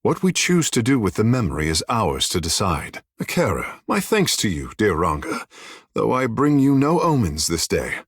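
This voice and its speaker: Picture a flamboyant, charming young demigod of the sea islands, vain and courtly in a fantasy video game. His phrasing is lofty and theatrical, rising and falling widely. The voice has a slightly husky, breathy grain and sits very close and dry. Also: lowish voice, wildly swooping pitch, moderate pace, no room sound, heavily acted. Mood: measured, polite. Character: flamboyant, vain, charming godlike islander man, water shaper. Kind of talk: video game character dialogue.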